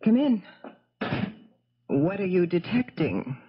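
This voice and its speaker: Husky, brazen scheming wife. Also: female voice, on the low side, sing-song, takes it slowly, heavily acted. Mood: cool, curious. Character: scheming, brazen married woman feigning shocked grief. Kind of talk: 1970s radio drama acting. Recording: dull, muffled old radio broadcast.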